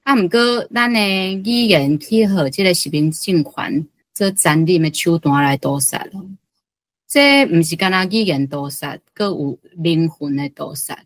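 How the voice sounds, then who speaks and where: A woman's voice: sits low, lively intonation, measured pace, no room sound. A woman speaking as a guest commentator, sharing informal reflections in a conversational way. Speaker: guest commentator, book club member.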